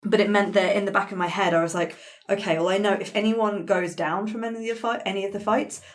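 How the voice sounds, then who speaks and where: A woman's voice: medium pitch, steady tone, fast talker. A woman who plays tabletop games, talking informally in a post-game chat.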